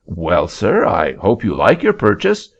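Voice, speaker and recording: A man plays a blunt, dismissive auctioneer with a gravelly, raspy voice that swings up and down. The sound is dull and lo-fi. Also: low-pitched, wildly swooping pitch, measured pace, heavily acted. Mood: curt. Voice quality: blunt, curt. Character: gruff, dismissive male auctioneer. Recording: dull lo-fi recording.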